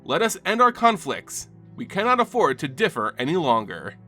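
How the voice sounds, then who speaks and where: A man plays a solemn male construct in a fantasy story reading. He declares formally in a fairly high, bright voice over faint music. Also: huge pitch swings, moderate pace, heavily acted. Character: solemn male artificial man relaying last words.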